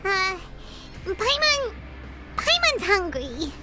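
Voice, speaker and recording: A woman voicing a tiny childlike floating fairy companion in a video game, squeaky, bubbly and exclamatory. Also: sing-song, drawn-out words, over loud music, exaggerated voice. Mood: touched, sheepish. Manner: exclamatory, speaks of self in third person. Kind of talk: video game character acting.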